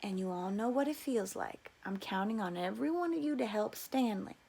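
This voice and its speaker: A woman's voice: mid-range voice, animated, a little fast, vocal fry, darkish tone, slightly rough. A woman voicing an upbeat, preachy male camp counselor in a storybook reading, encouraging and patronizing. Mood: patronizing, insistent.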